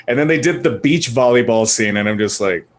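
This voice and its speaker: A man's voice: mid-range voice, animated, a little fast, crowd noise. A man chatting casually as a panelist on a gaming show, telling stories and ranting about films in a sweary, jokey way. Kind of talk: casual chat, animated film rant.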